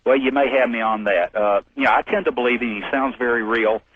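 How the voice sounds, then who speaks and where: A man's voice: medium pitch, a little fast, slight background noise. Man, forty, from Alabama, radio caller, tinny phone line, polite folksy and hesitant. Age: 40s. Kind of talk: call-in radio conversation. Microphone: telephone.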